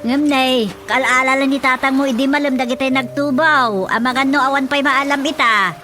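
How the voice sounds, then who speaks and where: A woman's voice: high-pitched, steady tone, moderate pace, sharp tone. A woman with an Ilocano accent acting as a village wife and mother in a radio drama. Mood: calm. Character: rural mother, wife waking at night, questioning. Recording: music playing behind the voice.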